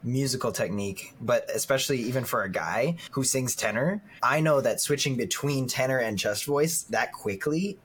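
A man's voice: medium pitch, animated, moderate pace, hardly any pauses, a bit bright, husky. A man co-hosting a podcast in a casual, conversational discussion. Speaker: podcast co-host.

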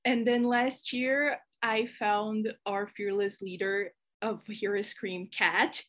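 A woman from Brazil with a Brazilian Portuguese accent, speaking English as a guest on a podcast and telling stories with quick laughs. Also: mid-range voice. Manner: animated storyteller, quick laughs. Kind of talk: casual chat.

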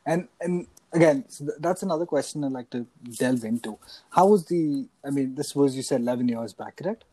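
A man's voice: mid-range voice, brisk, mellow, no room sound, rough recording. A man interviewing a guest, asking questions with many restarts and short affirmations.

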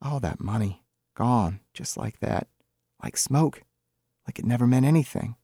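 A man's voice: medium pitch, measured pace, mellow, slightly rough, dry recording, studio quality, voice pushed up. A man voicing an envious, weary, outspoken wife who complains in blunt colloquial talk, in a story reading. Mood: wistful.

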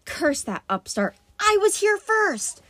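A woman's voice: fairly high pitch, expressive, a bit bright, dry recording. A woman voicing a sly, humiliated old man of the sea in an audiobook, groaning and drawing out his words. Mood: wailing, furious. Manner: groaning, aggrieved, drawn-out.

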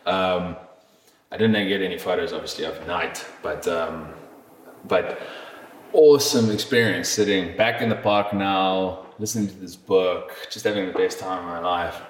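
A man's voice: low voice, steady tone, normal speed, echoey room, slight background noise. A man telling a travel story in casual podcast chat, rambling with fillers and restarts and swearing casually.

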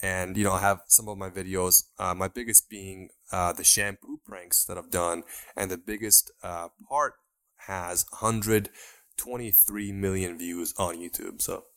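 A man's voice: deep voice, fairly even intonation, a little slow, fairly bright tone, clear, resonant tone. A man in his twenties from Iran, a podcast guest telling his story steadily with frequent ums. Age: 20s. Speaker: guest YouTuber. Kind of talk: interview answers.

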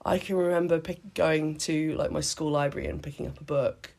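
Croaky woman in her late thirties with a cold. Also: deep voice, fairly even intonation, brisk, a little mellow. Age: late 30s. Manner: chatty, self-deprecating, restarts often. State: hoarse with a cold. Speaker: podcast co-host. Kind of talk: casual chat. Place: sitting on the floor in a home play fort.

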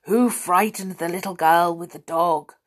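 A woman voicing a stern, solemn invisible male figure from a storybook, firing accusing questions in a booming voice. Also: fairly even intonation, a little slow, breathy edge.

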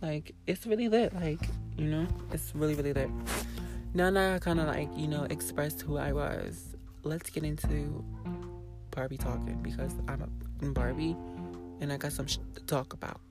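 A woman YouTuber ranting casually to her viewers, sassy and blunt, with a hoarse voice and a lot of cursing. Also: low voice, steady tone, normal speed, over loud music. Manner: sassy, blunt, swears freely, talks straight to viewers. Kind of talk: casual vlog rant to camera.

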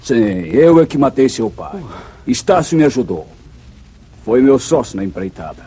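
Casting: treacherous western outlaw, Brazilian accent, husky and mellow-toned, menacing, 1950s radio melodrama. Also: male voice, mid-range voice, very animated, moderate pace. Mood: cold menace. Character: cold, treacherous male outlaw and murderer. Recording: muffled vintage radio drama recording.

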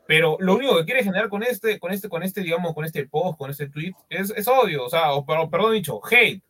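A man's voice: sits high, lively intonation, fairly quick, bright, forceful tone. A man, co-hosting football talk and often hunting for words. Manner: searching for words, many fillers. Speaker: football show co-host.

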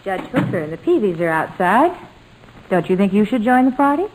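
An actress playing a gentle, patient, motherly woman in an old-time radio sitcom, speaking in a soft, measured, earnest way. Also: mid-range voice, sing-song, lightly acted. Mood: gentle, reassuring. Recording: noisy vintage radio recording.

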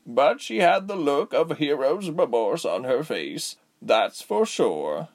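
Storybook old woman, raspy and slow, weary, grumbles kindly; male reader acts her. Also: fairly high pitch, sing-song, voice pushed up, full character voice. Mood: grumbling, defensive.

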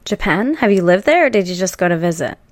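A woman's voice: on the low side, animated, quick pace, dry recording. A woman hosting a casual interview, prompting her guest with short questions and agreeing replies. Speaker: podcast host.

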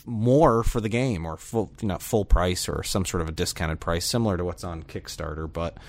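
A man chatting casually as a podcast panellist, thinking aloud in a halting way. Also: sits low, a little mellow, dry recording, studio quality. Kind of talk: casual podcast chat about video games.

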